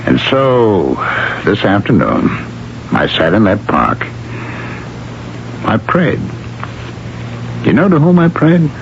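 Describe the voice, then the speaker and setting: A man's voice: on the low side, very animated, slow pace, creaky, long pauses, a little hiss or hum, full character voice. A man playing a haunted bridge engineer who tells his story in an intense, dramatic way, in a 1970s radio drama. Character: haunted engineer husband, shaken and earnest. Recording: muffled 1970s radio broadcast with music.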